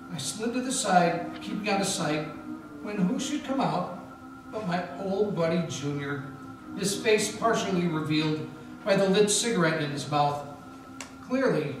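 A man narrating as a hard-boiled detective in a live 1940s-style radio play, in a wry first-person manner, before an audience in a historic mansion. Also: fairly high pitch. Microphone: stand mic, filmed by a far camera. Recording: echoey room taped from far off.

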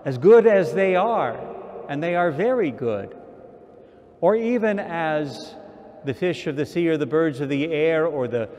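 Sixties male archbishop for a measured pulpit homily, unhurried, reverberant sanctuary. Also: fairly high pitch, animated, a little mellow. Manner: slow, measured preaching with repeated phrases. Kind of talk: sermon. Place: large church sanctuary, indoors. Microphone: stand mic at pulpit. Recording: long church reverb.

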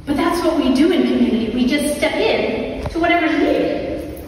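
A middle-aged woman with a high voice delivers a reflective, conversational sermon, repeating phrases as she invites people in. She is heard from a distance in a large, very reverberant church hall, with a little noise behind her. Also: moderate pace, darkish tone. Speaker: preacher. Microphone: distant camera in the audience. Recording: echoey hall from far off, some noise.

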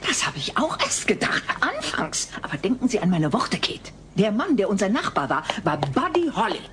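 An actress playing a nosy, excitable neighbor who gossips conspiratorially in a dubbed sitcom audio play. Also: female voice, mid-range voice, moderate pace, a little hiss or hum.

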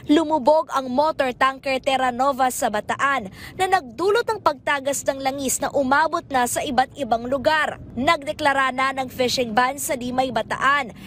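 High-voiced woman reading a news report. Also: fairly bright tone, a little hiss or hum. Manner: measured, formal newsreading. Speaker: field reporter.

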